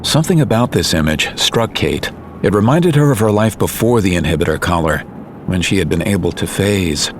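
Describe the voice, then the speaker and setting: A man narrating a scripted audio drama in a serious, measured storytelling manner. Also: low voice, expressive, a little hiss or hum.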